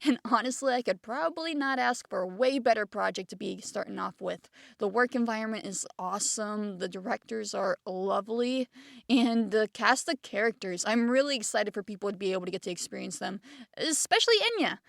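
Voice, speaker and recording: A woman's voice: medium pitch, a little fast, gravelly, no room sound, clean studio sound. A woman in her twenties giving a casual self-introduction as an amateur voice actor, chatty, rambling and self-deprecating. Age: 20s.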